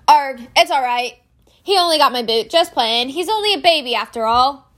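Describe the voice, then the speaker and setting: A woman reading aloud voices a big soft-hearted man who fusses over a baby dragon. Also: sits high, lively intonation, moderate pace, voice pushed up. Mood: fussing. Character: big soft-hearted male giant groundskeeper.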